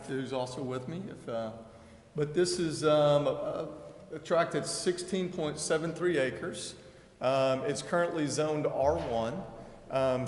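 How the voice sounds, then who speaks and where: Echoey man giving a hesitant presentation. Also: mid-range voice. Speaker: applicant's representative presenting. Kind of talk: public hearing presentation. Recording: echoey council chamber, faint noise.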